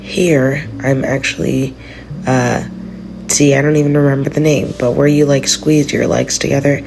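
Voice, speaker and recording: A congested woman giving a relaxed, rambling voice-over about her gym session. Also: a bass voice, moderate pace, creaky, background noise. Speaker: vlogger. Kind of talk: casual voice-over narration.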